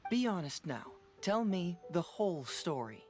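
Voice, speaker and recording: A man's voice: sits high, very animated, a little slow, music in the background. An actor playing a shrewd young male detective in a video game, probing and playfully skeptical.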